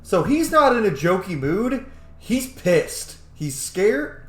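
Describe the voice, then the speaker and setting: A man's voice: high voice, sing-song, slow, roomy sound, soft background music. A man giving casual, sweary let's play commentary as a game streamer, joking and emphatic. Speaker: streamer playing a role-playing game.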